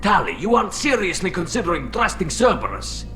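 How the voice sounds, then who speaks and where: An actor playing a distrustful, hot-headed alien soldier in a video game, speaking curtly and suspiciously. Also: male voice, high-pitched, sing-song, brisk, bright, forceful tone, faint music behind, heavily acted. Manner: curt, suspicious.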